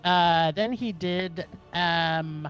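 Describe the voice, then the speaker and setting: A man's voice: on the high side, drawn-out words, a bit bright, highs cut off, soft background music. A man hosting a casual movie podcast, enthusiastic and stumbling over foreign film titles. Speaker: podcast host.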